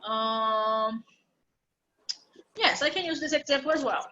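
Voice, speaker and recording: A woman's voice: a little high, takes it slowly, sharp tone, dull audio. A woman teaching an English grammar class online, explaining patiently step by step.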